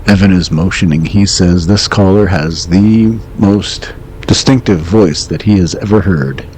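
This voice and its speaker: A man playing a calm, wry host of an eerie late-night radio call-in show, speaking in a measured, reflective, understated way. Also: low-pitched, slight background noise, rough recording. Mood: dryly wry.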